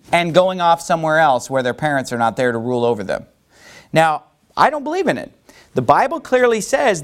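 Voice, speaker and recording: A man preaching a sermon in an emphatic, animated way, pushing his points up with rising emphasis. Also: high voice.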